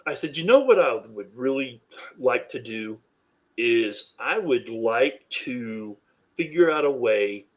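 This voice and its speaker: A deep-voiced man from North Carolina, a podcast guest speaking candidly and reflectively, with frequent "you know" fillers. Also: animated, slow pace, dull audio. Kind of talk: candid interview conversation.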